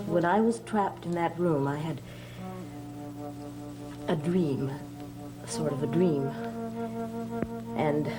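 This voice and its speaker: A fragile wife in an old gothic television serial. Her voice sits fairly low and moves in hesitant, broken phrases, nervous and self-blaming. Loud music swells under the vintage soundtrack. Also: poor audio. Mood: apologetic, earnest. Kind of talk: 1960s gothic soap opera acting. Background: dramatic background music.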